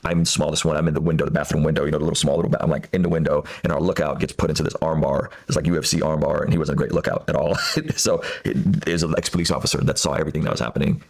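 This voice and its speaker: A man's voice: extremely low pitch, level tone, vocal fry. A man whose first language is Russian, telling a personal story earnestly on a podcast, with frequent restarts. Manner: rapid, earnest, with many restarts. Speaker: podcast guest.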